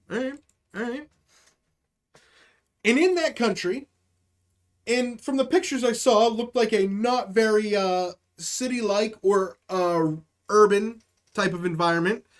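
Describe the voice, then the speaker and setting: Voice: medium pitch, a bit bright. A live stream comedy host telling stories casually to chat, with slangy, profane language and acted-out quotes.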